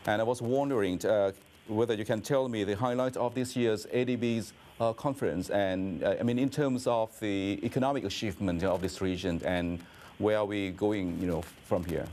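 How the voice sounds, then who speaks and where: A man working as an interviewer, putting roundabout questions in a halting, conversational way. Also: on the low side, a little mellow, a little hiss or hum. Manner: halting, roundabout questions peppered with "you know".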